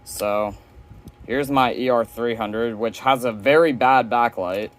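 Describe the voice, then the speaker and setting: A man's voice: medium pitch, takes it slowly. A man giving a casual, rambling, offhand hands-on demo of his collection, presenting as a hobby collector. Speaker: weather radio collector presenting.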